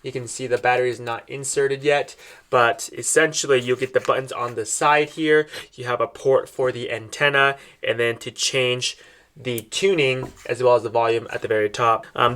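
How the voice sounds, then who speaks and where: A man's voice: medium pitch, fairly bright tone. A man presenting a product in a casual, step-by-step unboxing style, explaining things directly to viewers as he demonstrates.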